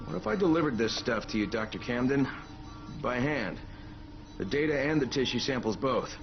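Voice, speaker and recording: A man's voice: medium pitch, expressive, normal speed, dull audio, music in the background, lightly acted. A man playing a determined action hero, an adult survivor in a zombie video game cutscene. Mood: earnest.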